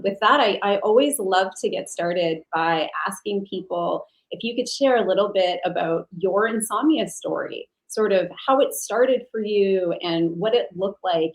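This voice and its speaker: A woman's voice: mid-range voice, unhurried, few pauses, low-quality recording. A woman hosting an interview podcast as a coach, speaking conversationally and encouragingly to a guest. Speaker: podcast host and sleep coach.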